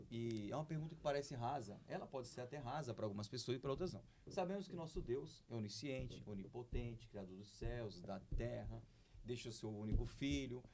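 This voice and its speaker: A man, a deacon, poses his questions in a lively, swinging voice. He shifts between jokes and careful, formal phrasing. Light noise and clicks sit in the background. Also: medium pitch. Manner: jokey, then formal and deliberate when questioning. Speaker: deacon, co-host asking questions. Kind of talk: casual church podcast chat.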